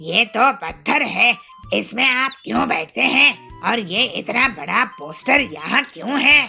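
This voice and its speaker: A baffled young male bird from a cartoon, as a woman acts him out. He sounds heavily gravelly, with a sharp, bright edge. He speaks slowly and in an exasperated, complaining way. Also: on the high side, animated, faint music behind, exaggerated voice. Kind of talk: cartoon voice acting.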